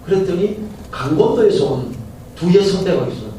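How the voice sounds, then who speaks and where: Middle-aged pastor preaching in an echoey hall. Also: male voice, high voice, sing-song, moderate pace, mellow. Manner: conversational preaching, asks the congregation questions. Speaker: senior pastor. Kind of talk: Sunday sermon. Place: church sanctuary. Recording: very echoey church hall from far off, faint hiss.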